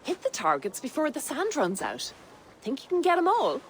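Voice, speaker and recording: A cheeky, teasing Irish bow-maker for a video game. Her voice is slightly high and swings up and down dramatically, bold and full of challenge. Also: wildly swooping pitch, normal speed, a little hiss or hum. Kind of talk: game voice acting.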